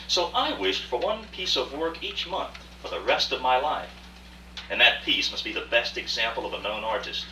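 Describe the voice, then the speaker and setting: A man's voice: fairly low pitch, bright, forceful tone, exaggerated voice. A man acting a cultured, proud businessman, telling his tale articulately and emphatically in a live radio drama. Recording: roomy far-off rehearsal recording, faint hiss.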